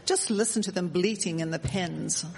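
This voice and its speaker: A woman's voice: fairly low pitch, moderate pace, vocal fry, a little mellow. A woman playing a wry, outspoken woman of the 1870s in a radio drama, with formal period phrasing. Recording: echoey hall, faint background noise.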